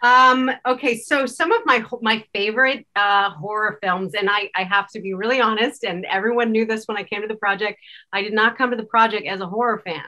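A woman's voice: medium pitch, fairly quick, bright, forceful tone, rough recording. A middle-aged woman, a director, talking casually as an interview guest on a video call. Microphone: computer video call.

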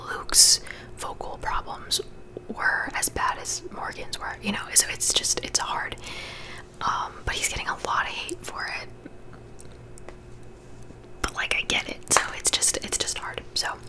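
A young woman in her twenties whispering casual gossip chat for an ASMR video, close to a studio microphone in a small bedroom. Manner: chatty, conversational whisper. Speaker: ASMR creator. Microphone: large studio mic close to the mouth.

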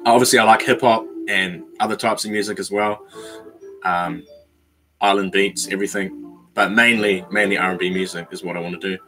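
A young man in a small bedroom, casually chatting with his viewers on a livestream and reading out their comments, slangy and relaxed, calling people bro and man. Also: low voice, a little fast, soft background music, poor audio. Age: young adult. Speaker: YouTuber reading live chat.

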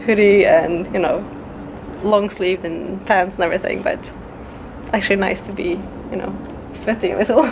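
A woman's voice: mid-range voice, fairly even intonation, normal speed. A young woman from Sweden with a Swedish accent, chatting casually and giggly to a handheld camera outdoors. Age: young adult. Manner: giggly, upbeat. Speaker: vlogger. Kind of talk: casual vlog chat. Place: outdoors, garden and wooded riverside path. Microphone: handheld camera at arm's length. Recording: noisy outdoor camera audio with ambience.

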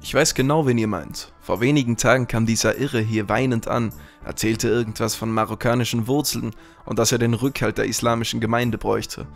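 Gravelly kebab shop owner played by a man. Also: mid-range voice, fairly even intonation, brisk, soft background music, natural voice. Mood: genial. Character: friendly, shady male kebab shop owner. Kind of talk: parody detective radio play.

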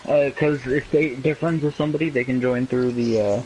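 Male co-op player, mild stutter, mid pitch, game rain behind. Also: steady tone, heavy background noise. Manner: mild stutter, halting restarts. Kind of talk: casual gaming chat.

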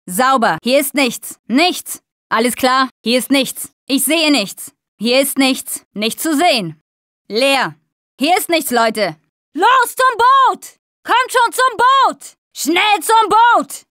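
A woman's voice: sits high, sharp tone, dry recording, clean studio sound, full character voice. A woman voicing a young, tough and wry female zombie survivor in a video game dub, speaking in short, informal lines. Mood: urgent.

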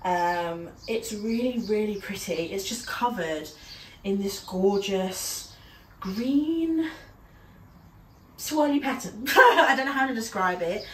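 A woman's voice: mid-range voice, slow, a bit bright. Stuffed-up woman chatting in a roomy space. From United Kingdom. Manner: chatty, rambling, frequent ums and little laughs. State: stuffy nose from a cold. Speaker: fashion vlogger. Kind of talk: casual try-on haul chat. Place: small room at home, indoors. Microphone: camera across the room. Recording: roomy echo, voice a little far from the mic.

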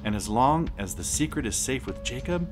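A man's voice: medium pitch, expressive, normal speed, music in the background, close to an everyday voice. A man playing a grandfather who narrates an adventure story in an audio drama. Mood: reassuring.

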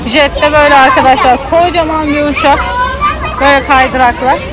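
A high-voiced woman with an Istanbul Turkish accent is vlogging outdoors. She chats casually, switching between addressing a child and her viewers, with a busy crowd audible around her. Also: fairly even intonation, a little fast, noisy background. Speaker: vlogger parent filming a child. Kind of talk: casual vlog commentary. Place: outdoor children's theme park. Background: crowd chatter.

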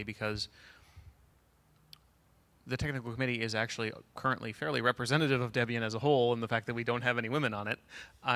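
A man's voice: mid-range voice, sharp tone. A man speaking hesitantly as a panelist in a large lecture hall, on a handheld mic, with many restarts. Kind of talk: panel discussion.

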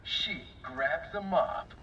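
A political advertisement announcer. His delivery is slow, grand and declarative, with a bright, forceful tone. Faint ambience sits behind the voice. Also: fairly high pitch, slight background noise, exaggerated voice. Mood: grand, promotional. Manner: measured, declarative. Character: male political campaign ad announcer, grandly promotional. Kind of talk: campaign advertisement voice-over.

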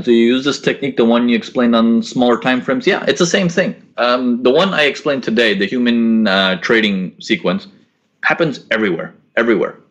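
A young man hosting a live trading webinar from home, answering chat questions in a casual coaching manner and often repeating his words. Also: mid-range voice. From grew up in Korea and the US. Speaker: forex trading webinar host. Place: small indoor room at home. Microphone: laptop webcam mic on a video call.

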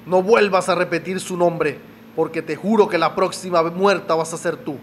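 An actor playing a menacing, guilt-ridden killer in a radio soap opera, curt and threatening. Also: male voice, high-pitched, steady tone, normal speed, soft background music, natural voice.

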